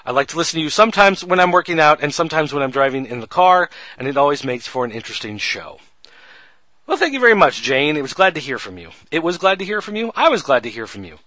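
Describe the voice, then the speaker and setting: A man's voice: fairly high pitch, sing-song, quick pace, pushed and bright, dry recording. A man hosting a wrestling podcast, reading listener emails aloud with rambling, self-mocking asides. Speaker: podcast host.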